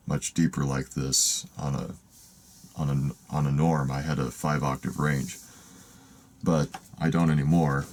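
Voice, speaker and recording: A man with an extremely deep, raspy voice talks straight to the camera. He rambles and hesitates, circling back and restarting his sentences. He is from the United States. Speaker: van life vlogger. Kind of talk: casual talk to camera. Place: in a van.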